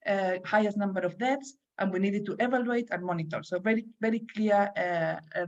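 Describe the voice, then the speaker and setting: Earnest Spanish-accented woman academic, panel speaker, on a dull lo-fi call. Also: medium pitch. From Spain. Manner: earnest, repeats words as she restarts. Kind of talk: online panel talk.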